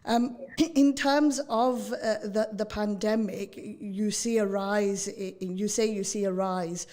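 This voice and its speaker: A woman hosting a radio interview, chatty and candid, with a naturally loud voice. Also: medium pitch, steady tone, takes it slowly. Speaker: radio host. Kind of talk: casual radio interview chat.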